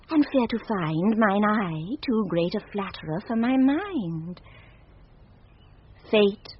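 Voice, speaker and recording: An actress playing a proud, impulsive young countess in love, in formal, theatrical Shakespearean radio style. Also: female voice, mid-range voice, husky, exaggerated voice. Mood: anxious, yielding. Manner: theatrical, deliberate, formal verse delivery. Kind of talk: classic Shakespearean radio acting. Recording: muffled 1970s radio recording, noisy.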